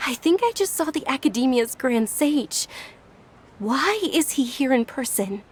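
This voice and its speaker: Gentle, high-voiced young woman. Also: expressive, unhurried, slightly rough, heavily acted. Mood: concerned. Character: gentle, sincere young woman, wistful festival-goer. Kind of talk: video game voice acting. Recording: soft music behind.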